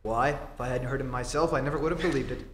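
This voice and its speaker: A man's voice: medium pitch, fast talker, fairly bright tone, natural voice. A man playing an earnest, upright reporter hero in theatrical 1940s radio-play style. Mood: grave, puzzled. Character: Clark Kent, upright concerned adult male reporter hero. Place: theatre stage before an audience. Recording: echoey hall, faint stage noise.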